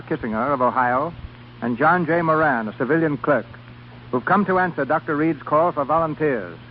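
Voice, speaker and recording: A man's voice: a little high, slight background noise. A man giving solemn, scripted radio narration as an announcer. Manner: solemn, formal. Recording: muffled 1930s radio broadcast.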